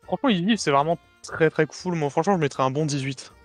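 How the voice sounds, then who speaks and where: A man taking part as a guest in a casual chat who talks quickly and confidently corrects the others. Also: a little high, dry recording, faint music behind.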